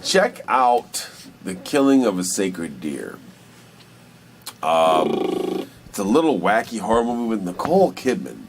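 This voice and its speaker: Lower voiced dude riffing on movies, swings all over the place, sweary, faint noise behind. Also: male voice, big pitch swings, unhurried, long pauses. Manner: profane, slangy. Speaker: co-host of a movie discussion show. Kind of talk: casual chat about films.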